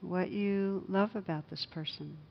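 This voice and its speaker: A woman meditation teacher leading a guided loving-kindness meditation in a gentle, hushed, unhurried manner with long pauses. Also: fairly low pitch, fairly even intonation, vocal fry, muffled recording, slight background noise.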